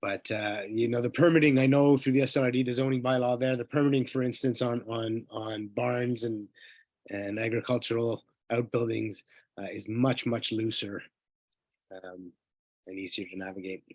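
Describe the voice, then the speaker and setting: A man's voice: medium pitch, fairly even intonation, normal speed, no room sound. A man chairs a council meeting from a dry, close-sounding spot. He is polite and hesitant, full of small apologies and restarts as he moves through the agenda. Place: council chamber.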